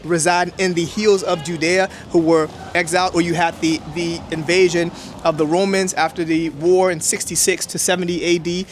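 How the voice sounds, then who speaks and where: High-voiced man lecturing outdoors, native Hebrew. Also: flat delivery, normal speed, hardly any pauses, a little hiss or hum. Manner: emphatic, lecturing, with many "right?" checks. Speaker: interview guest. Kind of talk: explaining history in an interview.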